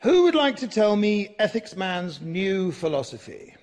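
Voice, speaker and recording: Animated, pompous philosophy teacher. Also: male voice, high-pitched, a little slow, a little hiss or hum. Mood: pompous lecturing. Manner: lecturing, theatrical. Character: pompous, fussy male philosophy teacher turned would-be superhero. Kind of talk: radio drama acting.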